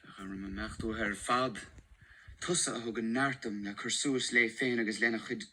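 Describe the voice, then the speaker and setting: Pádraig, the secretive schoolteacher. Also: male voice, on the low side, fairly even intonation, a little fast, sharp tone, breathy edge. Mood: earnest, confiding. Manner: earnest, confiding, pleading. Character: secretive male schoolteacher, married, in a hidden love affair. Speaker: actor in an audio drama. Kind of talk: radio drama acting.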